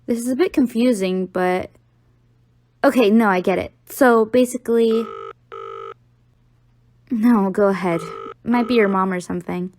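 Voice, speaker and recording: A woman's voice: mid-range voice, measured pace, pauses often, darkish tone, slight background noise, lightly acted. A young woman playing an affectionate, teasing college-age girlfriend in an audio roleplay, talking playfully to the listener. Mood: helpful.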